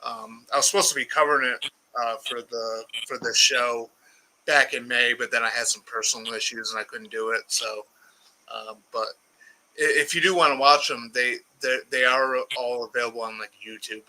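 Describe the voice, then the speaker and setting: A man's voice: medium pitch, fairly even intonation, moderate pace, bright, forceful tone, rough recording. A man hosting a casual live-stream chat, reading viewer comments and often stammering as he starts sentences. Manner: stammering, repeats words when starting.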